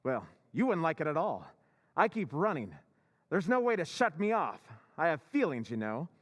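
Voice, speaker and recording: This is a polite mechanical duplicate of a man, with a smooth and courteous way of talking that hides something cold underneath, as a man voices him. He has a lively, fairly high voice that moves freely up and down. He speaks in the manner of a classic radio play. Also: normal speed, long reverb tail. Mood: aggrieved. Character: calm, polite male robot duplicate who turns cold. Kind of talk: old-time radio drama recreation.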